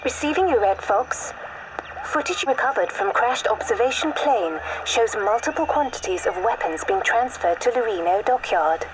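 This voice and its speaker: Clipped wartime radio operator, echoey transmission. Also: female voice, fairly high pitch, lively intonation, moderate pace, noisy background. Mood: brisk, official. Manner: clipped, telegraphic, official. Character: brisk, formal female wartime military radio operator. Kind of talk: video game radio dispatch.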